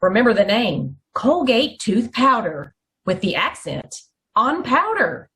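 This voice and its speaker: A woman reading a scripted old-time radio commercial with deliberate, emphatic phrasing. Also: on the high side, very animated, a bit bright. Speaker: commercial announcer.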